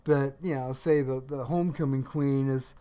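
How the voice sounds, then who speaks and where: A man chatting casually on a livestream in a halting, rambling way, often searching for words. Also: mid-range voice, fairly even intonation, warm tone, smooth. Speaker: live streamer.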